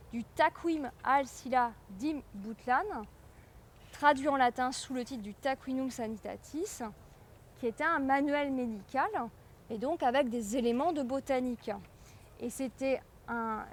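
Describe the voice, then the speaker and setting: A woman giving an informal public lecture, explaining things with hesitant pauses and repeated words. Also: high-pitched, slight background noise. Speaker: guest lecturer, medieval historian.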